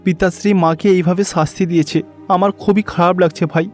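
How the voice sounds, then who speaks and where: A man's voice: high voice, steady tone, fairly quick, darkish tone, soft background music, close to an everyday voice. A man voicing a devoted young boy god in a cartoon, speaking in an earnest, pleading way. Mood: sad, concerned.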